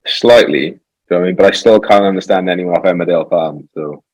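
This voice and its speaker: A man's voice: low-pitched, fast, no room sound, rough recording. A man with a Welsh accent co-hosting a casual chat and firing off wry one-liners. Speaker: co-host.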